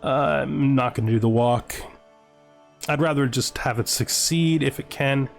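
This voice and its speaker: A man's voice: medium pitch, a little slow, creaky. A man giving casual let's play commentary on a strategy game, musing aloud and reading game text out. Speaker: gaming video host. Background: background music.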